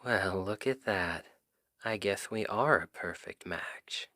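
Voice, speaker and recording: A man plays an earnest, lovestruck male best friend in an intimate audio roleplay. His voice sits fairly low and moves slowly, with soft, hesitant phrasing. The sound is close and dry, with very little room. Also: no room sound, exaggerated voice. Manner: hesitant, halting, soft-spoken. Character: shy, earnest male Cupid best friend confessing love. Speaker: ASMR roleplay performer. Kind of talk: ASMR roleplay acting, intimate confession.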